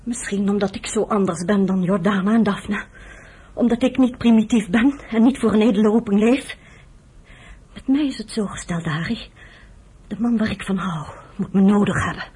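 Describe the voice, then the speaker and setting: A woman's voice: medium pitch, moderate pace, warm tone, breathy edge. An actress playing a weary, bitter woman who nurses the man she loves, in a reproachful, emotional radio drama style. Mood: bitter, hurt.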